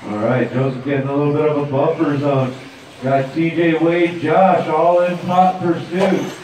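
High-voiced man calling a race. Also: moderate pace, heavy reverb, distant mic, noisy background. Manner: steady play-by-play calling. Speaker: race announcer. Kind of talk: live race commentary. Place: outdoor dirt track.

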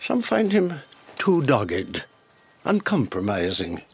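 An actor in a radio drama playing a gloomy, wary older lord at a Japanese court, with a husky voice. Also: male voice, medium pitch, wildly swooping pitch, slow pace, exaggerated voice. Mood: guarded, probing. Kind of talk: BBC radio drama acting.

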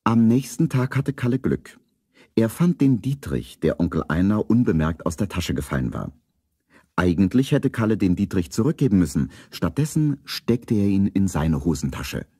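A storybook narrator for a children's detective radio play. The voice is low and mellow with a slightly husky grain and a lively melody. It sounds close and dry. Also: male voice, normal speed, no room sound, exaggerated voice. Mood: measured storytelling.

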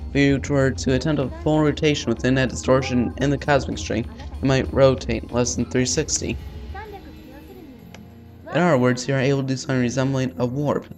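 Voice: medium pitch, darkish tone. A let's player reading visual novel text aloud in an informal way, stumbling over words and dropping in casual asides.

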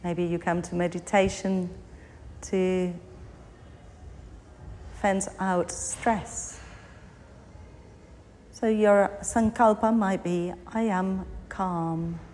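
A woman teaching yoga nidra, guiding a meditation in short phrases with pauses between them. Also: fairly low pitch, fairly even intonation, a little mellow. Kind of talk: guided meditation, body scan narration. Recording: long hall reverb, faint background noise.

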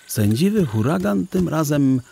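A man narrating a children's audio drama in a measured, literary storybook manner. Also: a little high, big pitch swings, creaky, dark tone, slight background noise.

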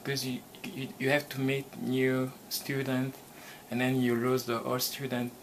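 A man's voice: mid-range voice, monotone, unhurried, low-quality recording. A young man with a Congolese accent, talking informally and asking questions. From Democratic Republic of the Congo. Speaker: international college student. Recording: clear background noise, insects chirping.

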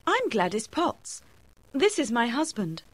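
A young wife in a storybook, put on by a male narrator. Her voice is high and very lively in pitch, yet she is cool, frank and matter-of-fact. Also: unhurried, no echo, exaggerated voice. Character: cool, frank young married woman, former sweetheart. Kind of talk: audiobook character voice.

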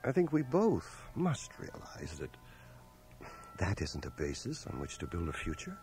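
An actor playing an earnest, reserved young war veteran in a radio drama, measured, hesitant and bookish. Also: male voice, sits low, huge pitch swings, darkish tone, exaggerated voice. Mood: earnest, tender. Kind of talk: 1980 radio drama acting and first-person narration. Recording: cassette transfer of radio drama, faint music.

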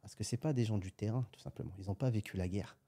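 A man on a podcast with a husky, somewhat low voice, talking fast. He is a guest entrepreneur who tells his points as little acted-out scenes. His tone is dark and mellow. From France. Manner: animated storytelling, acts out little scenes. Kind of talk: casual podcast conversation.

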